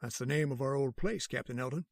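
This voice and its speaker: A man voicing a steady, bookish doctor in a radio horror play, his voice gravelly and a little husky, recorded dry and close. He talks in a measured, authoritative way. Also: mid-range voice, no room sound, lightly acted. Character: calm, learned hospital doctor. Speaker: voice actor. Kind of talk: old-time radio drama acting.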